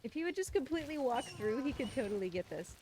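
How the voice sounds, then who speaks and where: A woman's voice: high-pitched, big pitch swings, fast talker, darkish tone, slight background noise. A woman giving informal, explanatory live commentary while she plays a game, talking casually and affectionately. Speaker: speedrunner commentating on own game run.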